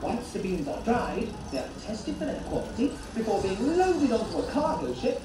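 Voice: medium pitch, sing-song, moderate pace, over music. A narrator delivering scripted exhibit narration in an explanatory tour manner. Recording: distant echoey exhibit speakers with crowd.

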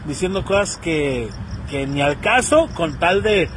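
A Mexican man in his thirties rants casually into his phone camera outside, his voice pitched fairly high. He talks in slang and acts out the people he quotes. Faint traffic hum sits behind him. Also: lively intonation, a little slow, a bit bright, poor audio. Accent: Mexican Spanish. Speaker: vlogger. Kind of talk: casual commentary rant. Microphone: phone selfie camera.

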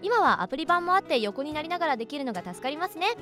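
A woman hosting a radio show in casual, playful chat and listener mail reading, giggly and quick to chime in agreement. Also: high-pitched, sing-song, a bit bright. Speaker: radio show host and voice actress. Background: background music.

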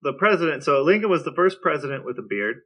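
A man's voice: fairly high pitch, very animated, fast, fairly bright tone. A man co-hosting a podcast with casual, conversational commentary. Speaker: podcast co-host.

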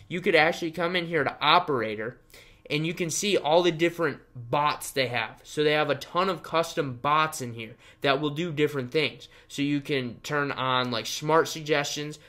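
A young adult man walks through an online tutorial. His voice is bright and forceful at an ordinary male pitch. He sounds upbeat and emphatic, pitching as he explains. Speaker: tutorial host and web design trainer. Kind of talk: casual screen-share tutorial.

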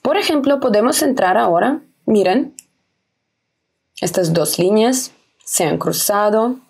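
A woman's voice: mid-range voice, animated, a little slow, creaky, darkish tone, breathy edge. A young woman explaining trading steps in a casual screen-recorded tutorial, speaking simple as a with repeats and small self-corrections. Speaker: trading video host. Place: indoors at home, webcam in corner.

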